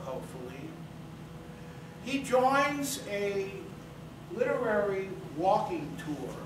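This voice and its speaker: Slow, high-pitched man in an echoey hall. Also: recorded from a distance. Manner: halting, deliberate, broken into short phrases. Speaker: presenter of a staged book reading. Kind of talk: slow spoken introduction to a staged reading. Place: indoor theater, staged reading. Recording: echoey, noisy theater recording from off.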